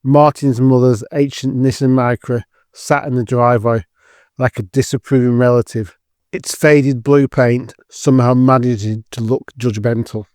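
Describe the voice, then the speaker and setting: A man's voice: medium pitch, level tone, normal speed, a little mellow, no echo, clean studio sound. A man giving scripted narration as the storyteller of an audio drama.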